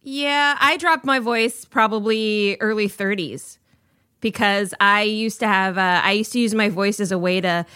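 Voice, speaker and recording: A woman's voice: mid-range voice, unhurried, bright, forceful tone, clean tone, dry recording, studio quality. A woman in her forties, a podcast guest chatting candidly and self-deprecatingly, often laughing. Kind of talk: casual chat.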